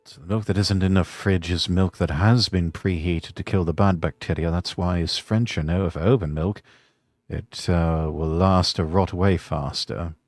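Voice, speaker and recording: A man's voice: sits low, steady tone, normal speed, a little mellow, dry recording. An English man chatting casually with wry jokes while playing a game with guests. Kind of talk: casual chat while gaming.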